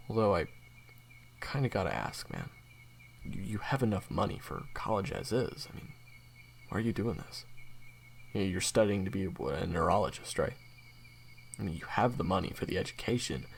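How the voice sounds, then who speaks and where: A low, gravelly-voiced young assassin in an audio drama, played by an actor. He is cocky yet easygoing, slangy and sweary, his pitch swinging freely through joking banter, over night crickets and hiss. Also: big pitch swings, full character voice. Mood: casual, concerned. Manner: slangy, sweary, joking, hesitant drawn-out phrasing. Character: cocky, laid-back male assassin roommate, secretly soft. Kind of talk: audio roleplay acting, casual banter.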